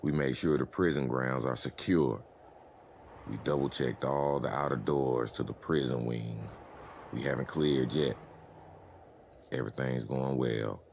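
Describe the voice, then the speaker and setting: A man playing an easygoing survivor in a comic-book drama, with an extremely deep voice full of gravel. He talks casually and jokily, like a regular guy, over a faintly noisy background. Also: a little slow, darkish tone, heavily acted. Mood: casual report. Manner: casual, jokey, chatty. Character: easygoing, joking male survivor reporting on prison chores.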